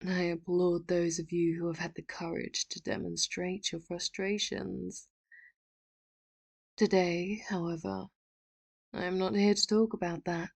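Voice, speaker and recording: A possessive female villain, voiced by a woman for an audio roleplay, talking close and soft with sweet manipulation. Her voice sits low and slow, with a gravelly fry and a husky edge. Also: little pitch movement. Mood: theatrical. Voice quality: soft and intimate, often whispered. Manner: sweetly manipulative, with quiet laughs. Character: possessive, doting female villain, calm and menacing. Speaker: ASMR roleplay voice actor. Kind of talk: audio roleplay, addressing the listener.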